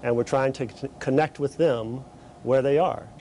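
Official guy, gravelly and mellow, formal interview with faint noise behind. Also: mid-range voice, steady tone, moderate pace. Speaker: agency official.

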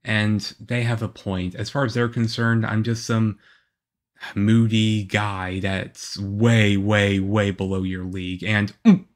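A man playing a shy, moody, lonely young half-vampire boyfriend in an ASMR roleplay, with a hesitant, halting, earnest delivery. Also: on the low side, flat delivery. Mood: wistful, earnest.

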